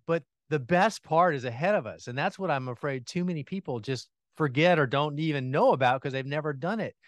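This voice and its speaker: A man's voice: a little high, no room sound. A man hosting a gardening television show, talking in an enthusiastic, expansive way in a casual interview.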